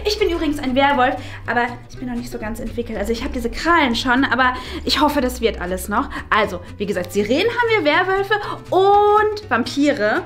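A woman's voice: high-pitched, lively intonation, fairly quick, few pauses, a bit bright. A woman playing a bubbly, over-eager teenage werewolf girl in an amateur parody series, gushing in a girlish voice. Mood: chirpy, eager.

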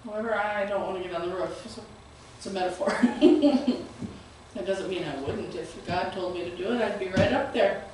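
Loud-voiced woman preaching in an echoey room. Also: medium pitch, moderate pace, a little hiss or hum. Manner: loud voice that carries, animated and gesturing. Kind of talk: sermon. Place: small church room, indoors. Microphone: far camera, no microphone. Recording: very echoey room, voice heard from a distance.

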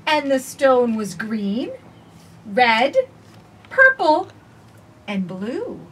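A woman in her forties reading a picture book aloud for children with expressive, sing-song phrasing, recorded outdoors on a porch. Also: fairly high pitch, drawn-out words, slightly rough. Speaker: children's storytime reader. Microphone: camera a few feet away. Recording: distant camera mic, faint outdoor noise.

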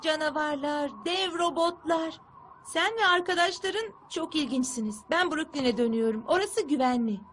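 A blunt young cartoon girl with a high voice. She sounds sardonic and sceptical, over some background ambience noise. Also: normal speed, a bit bright. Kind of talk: Turkish cartoon dub acting.

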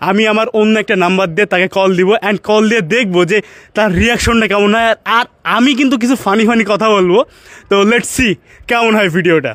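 Young male vlog host, Bangladeshi accent, high voice, casual code-switching, close dry sound. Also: fairly bright tone, no echo. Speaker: prank video host. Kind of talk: casual vlog talk mixed with English words.

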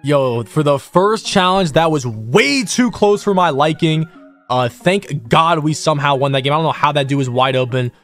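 A man giving casual, excited gameplay commentary in a slangy, exclamatory way. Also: a little high, moderate pace. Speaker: gaming video host, player.

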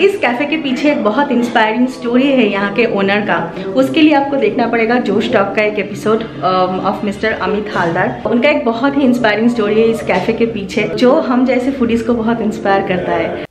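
A woman's voice: on the high side, normal speed. A woman in her thirties with an Indian accent chats casually to camera as a vlogger, switching between Hindi and English. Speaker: pet vlogger. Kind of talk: casual vlog chat to camera. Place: indoors, home bedroom and cafe. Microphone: camera at arm's length.

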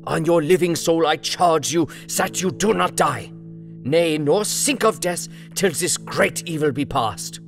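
Man voicing solemn elderly doctor, fatherly, slow and pleading, faint guitar behind. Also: fairly high pitch, creaky. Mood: impassioned. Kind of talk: dramatized audiobook reading.

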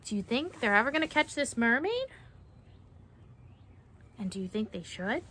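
A woman's voice: fairly high pitch, sing-song, normal speed, bright, forceful tone, slight background noise. A young adult woman reading a children's picture book aloud expressively, dramatizing the verses and putting questions to her young listeners. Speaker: read-aloud storyteller. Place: outdoors on a wooden backyard deck.

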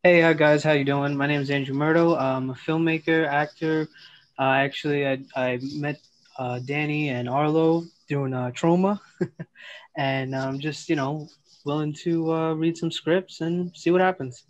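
A man's voice: a little high, steady tone, measured pace. A man introducing himself casually over a lo-fi video call. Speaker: guest, filmmaker and actor.

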